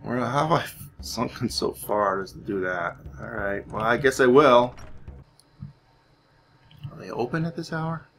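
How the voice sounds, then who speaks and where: A man's voice: medium pitch, expressive, measured pace, long pauses, a bit bright, a little hiss or hum. A man giving gameplay commentary as roleplay, musing aloud in character. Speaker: gamer playing in character.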